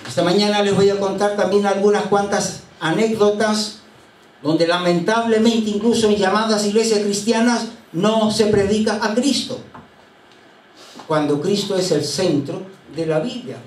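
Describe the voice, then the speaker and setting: Older pastor with a high voice, storytelling from the pulpit with faint noise behind him. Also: male voice. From Chile. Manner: unhurried anecdotal storytelling with frequent hesitations. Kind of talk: sermon. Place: church sanctuary. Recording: roomy church sound from a distant mic.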